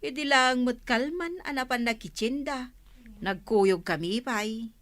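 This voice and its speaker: A chatty, nagging village gossip from a radio drama. Her voice is fairly high and very bright, telling tales in a dry, close recording. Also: measured pace, no room sound. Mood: casual complaining.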